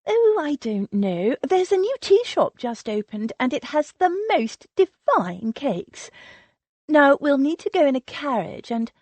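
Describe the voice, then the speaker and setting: A woman's voice: high-pitched, very animated, measured pace, darkish tone, dry recording, voice pushed up. A woman voicing an anxious, effusive young society wife who pleads and gushes. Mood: eager.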